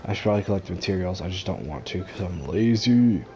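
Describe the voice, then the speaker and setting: Low-voiced, blunt, sarcastic gamer. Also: lively intonation, fast, mellow, faint music behind. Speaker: game streamer. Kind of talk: casual gameplay commentary.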